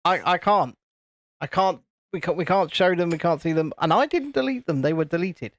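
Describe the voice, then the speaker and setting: A British man with a naturally high-pitched voice, co-hosting a live stream, teasing and swearing in casual banter while reading chat aloud. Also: expressive, a little fast. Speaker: co-host of a live build stream.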